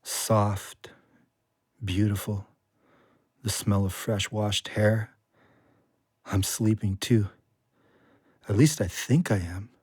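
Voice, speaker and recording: A man playing a cocky, loving ex-convict in an audio drama, with an expressive, drawling delivery. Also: on the low side, takes it slowly, pauses often, breathy edge, no echo, studio quality. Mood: tender, dreamy. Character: cocky, bawdy, loving man home from jail.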